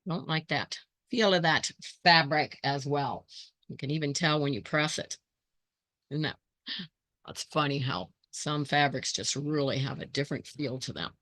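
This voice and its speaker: A hoarse woman hosting her quilting channel, thinking aloud in casual chat as she sorts scrap fabric and greets viewers by name. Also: low voice, normal speed, a bit bright, dry recording.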